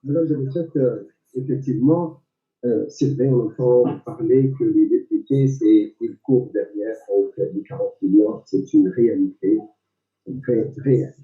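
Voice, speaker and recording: A man gives a debate answer as a candidate, in a medium-pitched voice. He is halting and deliberate, often repeating words. The sound is roomy, distant and a bit muffled. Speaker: election candidate. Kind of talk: political debate, spontaneous answer. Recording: echoey, distant, dull lo-fi audio.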